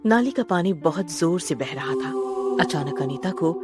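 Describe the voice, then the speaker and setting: Low-voiced woman narrating a horror story. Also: lively intonation, moderate pace. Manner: dramatic, suspenseful delivery. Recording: loud music bed behind narration.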